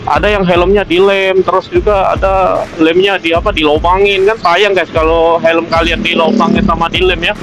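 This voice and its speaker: Male motovlogger, high voice, informal and halting delivery that often circles back on itself, music under the talk. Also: flat delivery, a bit bright, poor audio. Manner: halting, repetitive, self-questioning. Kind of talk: casual vlog chat.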